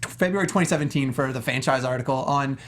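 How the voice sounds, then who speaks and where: A man's voice: mid-range voice, fast. A man on a discussion panel, talking casually about films in an earnest, rambling, conversational way. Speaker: talk show panelist.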